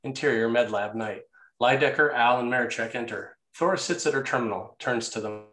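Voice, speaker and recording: A man's voice: medium pitch, brisk, a bit bright. Man narrating a screenplay, roomy echo. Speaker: narrator reading stage directions. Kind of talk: scripted screenplay narration at a table read. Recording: echoey, roomy sound.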